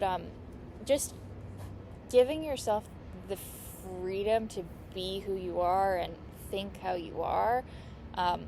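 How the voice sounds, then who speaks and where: Earnest woman with vocal fry. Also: mid-range voice, noisy background. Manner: mouth clicking noises; earnest, reassuring and encouraging. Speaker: astrologer and healing coach. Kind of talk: casual astrology teaching monologue.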